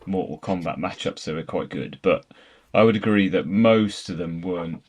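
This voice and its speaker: A man with a low, deep voice takes part in a film discussion. His delivery is conversational, as a panelist in a casual review chat. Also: normal speed, husky.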